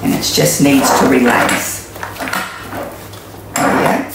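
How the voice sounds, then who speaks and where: A woman's voice: rumbling low, animated, slow, gravelly, very noisy. A woman in her sixties with an Australian accent, chatting through a home cooking demonstration with many ums and restarts, recorded in her kitchen on a camera mic a few metres away. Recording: echoey kitchen room.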